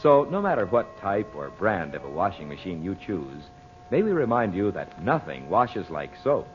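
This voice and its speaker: Old time radio announcer guy, super animated sales pitch, polished, muffled broadcast w faint music. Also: normal speed. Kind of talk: 1940s radio sponsor announcing, scripted.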